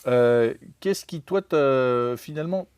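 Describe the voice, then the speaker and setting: A man's voice: mid-range voice, slow, a little mellow, clear, resonant tone, no echo, studio quality. A man interviewing a guest, asking questions hesitantly with many fillers and restarts. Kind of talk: conversational interview.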